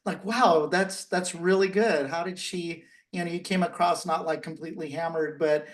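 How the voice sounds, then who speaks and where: A man's voice: on the high side, fairly even intonation, moderate pace. A man speaking hesitantly and giving compliments in a casual group discussion. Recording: distant from the microphone.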